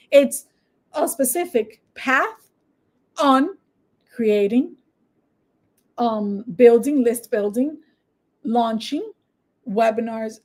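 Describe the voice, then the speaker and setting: Spanish-accented woman coaching at a brisk pace. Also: on the high side. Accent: Dominican Spanish. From Dominican Republic. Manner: brisk, persuasive, checks in with "okay?". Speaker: online business coach. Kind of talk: screen-share tutorial and sales pitch.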